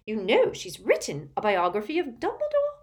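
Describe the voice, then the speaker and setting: A woman's voice: a little high, huge pitch swings, moderate pace, voice pushed up. A woman voicing a haughty, spiteful, tipsy old witch aunt in a storybook, cackling and screechy, with an emphatic gossipy manner. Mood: imperious.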